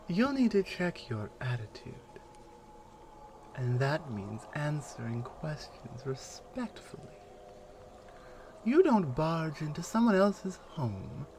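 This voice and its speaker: Woman voicing stern Amazonian warrior, ASMR roleplay, measured and commanding, deliberate pauses, slow pace, lively intonation. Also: low-pitched, background noise, exaggerated voice. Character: proud stern Amazonian warrior woman guarding an enemy prisoner.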